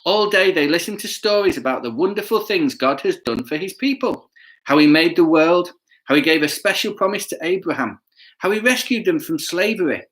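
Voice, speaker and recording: A man reading a children's story aloud with chatty asides, playful and expressive, often putting questions to his listeners. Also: a little high, low-quality recording. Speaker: children's bedtime story reader.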